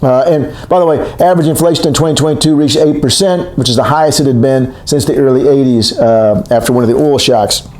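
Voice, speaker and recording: A man in his sixties lectures on economics in a rambling, casual way, stopping to correct himself as he goes. His voice sits at a middle pitch for a man. The recording carries a noticeable echo from the room. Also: fairly quick, few pauses, a little mellow. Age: 60s. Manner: rambling, self-correcting, conversational. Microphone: recorded for a video lecture. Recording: echoey room.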